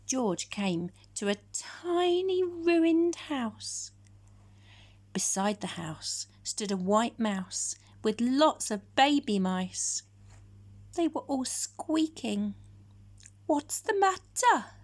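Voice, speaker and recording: A woman storyteller narrating a children's picture book in a measured, expressive way. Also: fairly high pitch.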